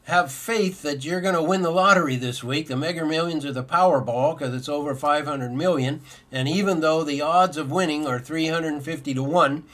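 A man's voice: on the high side, moderate pace, hardly any pauses, a bit bright. A middle-aged man pastor giving a sermon from a desk in an office, earnest and explanatory, asking rhetorical questions and repeating his points. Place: indoor office with bookshelves.

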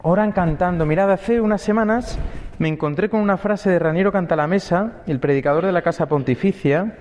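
A young priest from Spain, speaking with a Spain Spanish accent, gives a conversational homily at Mass, full of rhetorical questions and little tag words. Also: male voice, high-pitched, fairly quick, darkish tone. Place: church. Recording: dull, lo-fi audio with long church reverb.

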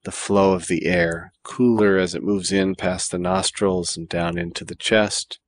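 A man leading a guided meditation in a calm, measured way, with deliberate phrases and pauses between them. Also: low-pitched, no echo. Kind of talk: guided body scan meditation.

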